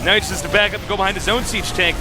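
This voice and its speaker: Male esports caster, excitable and jokey, slightly higher voice, music bed underneath. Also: racing. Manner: excitable, jokey, laughs often. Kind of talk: play-by-play game commentary.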